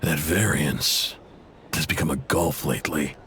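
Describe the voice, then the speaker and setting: A man's voice: extremely low pitch, steady tone, unhurried, a bit bright, slight background noise. A man playing a proud, indebted intel broker in a video game, blunt, casual and guarded, with an exasperated edge. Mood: guarded, informative.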